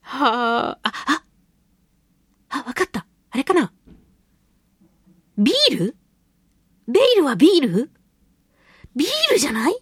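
A woman hosting a casual solo radio talk show, reading listener mail in a playful, giggly, cutesy way with a husky, breathy voice. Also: high voice, big pitch swings, unhurried, long pauses, dry recording. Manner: playful, giggly, slips into cutesy exclamations and quirky old-man phrasing. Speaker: radio show host, voice actress.

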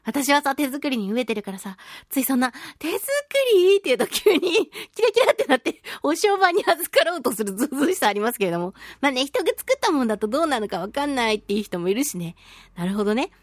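鼻声ぎみで高い声の女性ラジオパーソナリティ. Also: female voice, high-pitched, fast talker, dry recording, clean studio sound. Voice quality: slightly nasal from a cold. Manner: animated, playful, self-deprecating. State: mild head cold. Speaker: radio show host and voice actress. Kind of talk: chatty solo radio talk with listener mail.

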